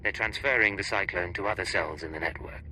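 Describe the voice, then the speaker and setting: A man's voice: sits low, fairly quick, bright, forceful tone, slight background noise, poor audio. A man playing a hardened secret agent in a scripted game, terse and dry-witted. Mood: resolute. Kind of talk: scripted game cutscene acting.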